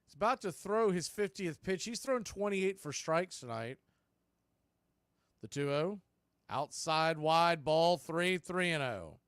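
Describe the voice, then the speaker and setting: A man calling baseball play-by-play, pitch by pitch, in a fairly high, clear voice. He keeps a steady, measured rhythm. The sound is dry and close to the mic, with no room in it. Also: no echo. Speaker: play-by-play announcer.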